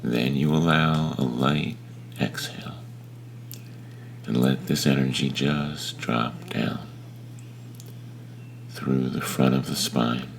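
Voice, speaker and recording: A man leading a guided meditation in a gentle, unhurried manner with long pauses between phrases. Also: rumbling low, fairly even intonation, gravelly, a little hiss or hum. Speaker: meditation teacher.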